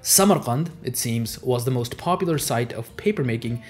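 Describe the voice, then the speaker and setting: A man's voice: mid-range voice, expressive, normal speed. A young man whose native language is Urdu gives scripted educational narration in an explanatory way, as host of a history channel. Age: young adult. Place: small home office, indoors. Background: background music.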